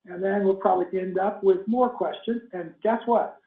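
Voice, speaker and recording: A man's voice: high voice, steady tone, normal speed, vocal fry. A man hosting an online webinar over a video call, chatty and enthusiastic as he welcomes the audience and guest. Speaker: webinar host. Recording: tinny phone-like line, echoey room.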